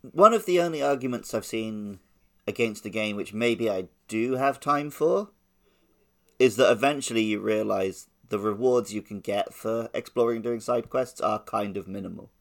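A man's voice: on the low side, normal speed, gravelly. A man co-hosting a podcast, casually discussing games in conversational speech. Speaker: podcast co-host.

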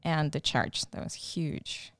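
A woman's voice: takes it slowly, dry recording, studio quality. A woman in her thirties with a Ukrainian accent, speaking as an interview guest, thoughtful and hesitant with many fillers. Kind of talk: casual interview.

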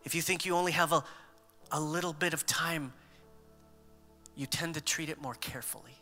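Animated male pastor preaching in a hall. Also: fairly high pitch, moderate pace, soft background music. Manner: animated, conversational preaching with humor. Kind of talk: sermon.

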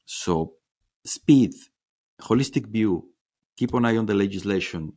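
A man hosting a conversational interview, speaking haltingly and repeating words as he goes. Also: lowish voice, animated, mellow. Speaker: podcast host.

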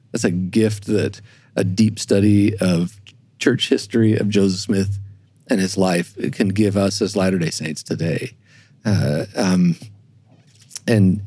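A man with a low, mellow voice talks reflectively as a guest historian. He is earnest and halting, circling back over phrases. The sound is dry and close, with a slightly husky edge. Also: no room sound. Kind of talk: reflective podcast conversation.

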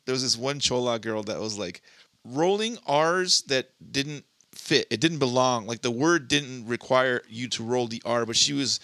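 A man's voice: medium pitch, bright, forceful tone, dry recording. A man from Southern California co-hosting a casual podcast, rambling through stories with lots of restarts and swearing. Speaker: podcast co-host.

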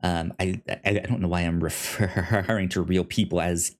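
A man's voice: deep voice, steady tone, moderate pace. A man co-hosting a podcast, casually discussing a TV series and often stammering over repeated syllables. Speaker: podcast co-host.

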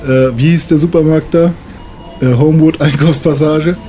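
A man's voice: fairly high pitch, steady tone, moderate pace, mellow, soft background music. A man casually improvising a story as a player in a tabletop role-playing podcast.

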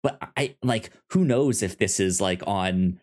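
A man co-hosting a podcast in casual chat, halting and often repeating words as he works out his thoughts. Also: deep voice, a little mellow. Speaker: podcast co-host discussing a TV series.

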